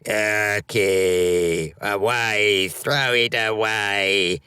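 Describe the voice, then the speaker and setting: A gruff storybook forest beast, blunt and easily disgusted. It speaks low, in slow, broken, clipped bursts, recorded close and dry. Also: male voice, sharp tone, no room sound, heavily acted. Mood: revolted.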